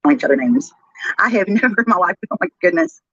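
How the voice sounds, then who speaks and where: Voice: mid-range voice, animated, fast talker, a bit bright, husky, no echo. Chatty, sarcastic livestreamer, dull sound. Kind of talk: casual livestream chat. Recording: dull lo-fi replayed livestream audio.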